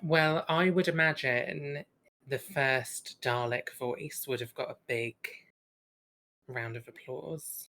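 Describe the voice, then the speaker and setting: Woman podcast cohost, slow easy pace, short wry reactions, just chatting. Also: extremely low pitch, steady tone, a bit bright. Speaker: podcast co-host. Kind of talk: casual chat, reactive listener.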